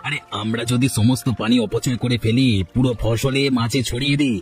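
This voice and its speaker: A man's voice: medium pitch, steady tone, brisk, soft background music, natural voice. A man voicing a spiteful, arrogant village bully in a cartoon, taunting and boastful. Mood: boastful, malicious. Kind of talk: Bengali cartoon story dialogue.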